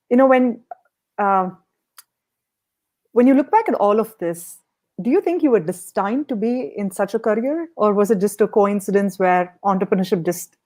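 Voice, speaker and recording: A woman with a medium-pitched voice hosts a podcast interview. She speaks conversationally, putting questions to her guest in an encouraging, appreciative way. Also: moderate pace, long pauses, darkish tone.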